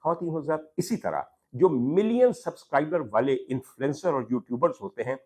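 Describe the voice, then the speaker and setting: A man's voice: on the high side, big pitch swings, a little fast, a little mellow. Pakistani man delivering an emphatic opinion monologue. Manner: rhetorical questions, emphatic repetition, formal address to viewers. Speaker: talk show host. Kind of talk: opinion monologue to camera on social issues.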